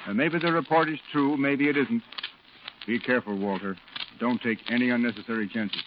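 An actor playing a calm, fatherly marine colonel in a 1940s radio drama, giving orders with measured authority. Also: medium pitch, very noisy, natural voice. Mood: calm, cautioning. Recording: muffled 1940s radio recording.

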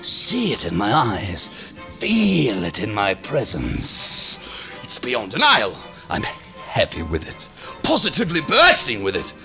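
An actor playing a flamboyant, deranged self-styled captain who declaims in florid, volatile style in a science fiction audio drama. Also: male voice, full character voice. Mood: indignant, ranting. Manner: florid, declamatory, swinging between whisper-low and shouting. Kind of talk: theatrical monologue in sci-fi audio drama. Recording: music behind the voice.

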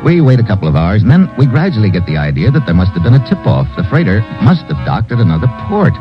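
An actor playing a wry, tough American secret agent in a hard-boiled old radio drama, clipped and world-weary. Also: male voice, on the low side, huge pitch swings, fast talker. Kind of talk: hard-boiled 1940s-50s radio narration and acting. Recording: muffled old radio recording, loud music.

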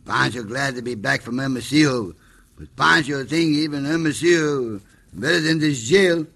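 An actor playing an excitable, comic Mexican sidekick in broken English, in an old-time radio western. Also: male voice, medium pitch, moderate pace, gravelly. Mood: plaintive, eager. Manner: broken English, speaks of himself in third person. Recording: noisy old radio recording.

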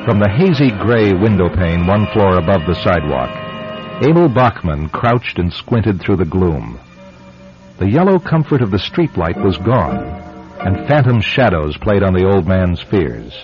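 A man hosting and narrating a scripted radio drama in a dramatic, deliberate storytelling manner. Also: low-pitched, very animated. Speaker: host and narrator. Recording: muffled old radio broadcast, faint music.

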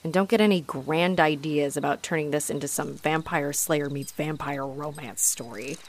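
A woman's voice: sits low, moderate pace, a little hiss or hum, lightly acted. A woman playing an aggravated, haughty, flirtatious female vampire in a scripted audio roleplay, with mocking, theatrical delivery. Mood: grudging. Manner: mocking, sardonic, theatrical taunting.

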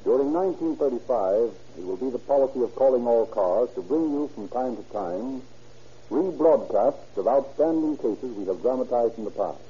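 A man with an American accent delivering formal, emphatic scripted announcements and sponsor pitches as a 1930s radio host. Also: animated, normal speed, dark tone, breathy edge. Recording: muffled old 1930s radio broadcast.

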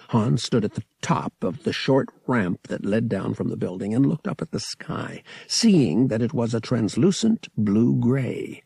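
A man reading a science fiction novel aloud as an audiobook narrator, with expressive storytelling. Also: mid-range voice, vocal fry, husky, dry recording. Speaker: audiobook reader.

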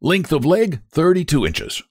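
A man voicing an excitable male tailor-shop owner who fawns over a customer in a rush of flattery. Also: a little high, slightly rough, no echo, studio quality, pitched above the performer's own voice, full character voice. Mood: fawning. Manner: gushing, rapid, breathless flattery.

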